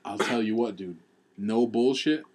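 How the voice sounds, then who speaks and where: A man's voice: lowish voice, unhurried. Laughing man telling a story at home. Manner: laughs often, animated storytelling with swearing. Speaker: podcast co-host. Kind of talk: casual chat. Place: kitchen table at home, indoors.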